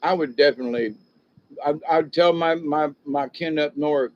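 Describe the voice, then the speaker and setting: A man's voice: fairly high pitch, moderate pace. An older man, born in Ohio and raised in the South, speaks with a slight Southern drawl. He hosts a rambling, folksy livestream chat, chuckling at his own jokes and talking back to viewers. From born in Ohio, raised in Florida and South Georgia. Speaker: livestream host chatting with viewers. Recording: dull lo-fi audio.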